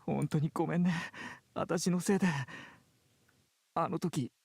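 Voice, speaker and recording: A woman's voice: low voice, fairly quick, vocal fry, darkish tone, slightly rough, no room sound, exaggerated voice. A woman playing an effeminate, apologetic young man in a visual novel, emotional and fretful. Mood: guilty, apologetic. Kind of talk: Japanese visual novel voice acting.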